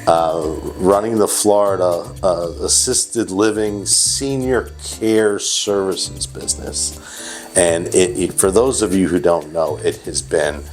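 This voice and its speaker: Very deep-voiced chatty man. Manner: chatty, teasing, many restarts. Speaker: company CEO hosting interview. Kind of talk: casual interview chat.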